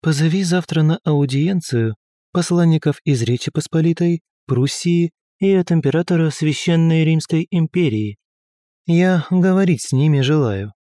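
A male audiobook reader voicing an aging empress who speaks fondly to her nephew and gives orders with calm authority. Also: sits low, moderate pace, dry recording, clean studio sound, pitched above the performer's own voice, close to an everyday voice. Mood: commanding. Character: aging empress, caring, commanding aunt. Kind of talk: audiobook character voice.

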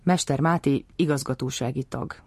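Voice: mid-range voice, gravelly, a little mellow, husky, no echo. Dry-sounding narrator reading a script.

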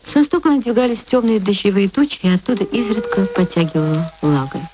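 A woman reading literary prose as a radio narrator, in an expressive, measured storytelling manner. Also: mid-range voice, background noise. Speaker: narrator, stage actress.

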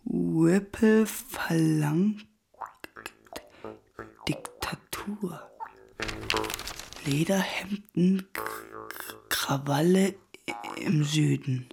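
A timid, naive stage stooge in a German radio play. He is a humble man who hesitates and stumbles, speaking very slowly in a gravelly, creaky voice. Music is part of the production. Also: fairly high pitch, heavily acted. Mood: slow, pondering. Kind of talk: German radio drama acting.

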